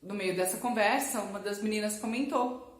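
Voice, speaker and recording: A woman's voice: mid-range voice, moderate pace. Brazilian woman chatting in an echoey room. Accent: Brazilian Portuguese. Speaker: language teacher and vlogger. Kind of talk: casual personal storytelling to camera. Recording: echoey, roomy sound.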